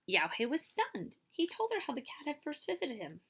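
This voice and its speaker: A woman reading a children's story aloud in an expressive, animated way, as a librarian would. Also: sits high, normal speed, a little hiss or hum. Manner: expressive, with commentary asides to listeners.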